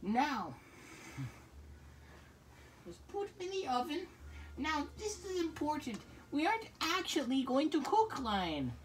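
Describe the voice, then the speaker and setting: A high-voiced performer voicing an elderly, muddled grandma teddy bear who gives halting cooking instructions in an amateur puppet skit. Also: wildly swooping pitch, exaggerated voice. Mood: cheerfully instructive. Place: small bedroom, indoors. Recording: noisy home recording with clicks.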